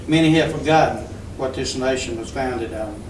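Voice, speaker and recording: Earnest pastor praying in a hall. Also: male voice, medium pitch, moderate pace, a little hiss or hum. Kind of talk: spoken prayer. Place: community center hall. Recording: echoey hall from far off.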